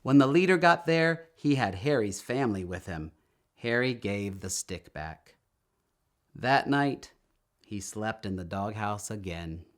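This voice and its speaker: A man reading a children's story aloud in an expressive, deliberate storytelling manner. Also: on the low side. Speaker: storybook reader.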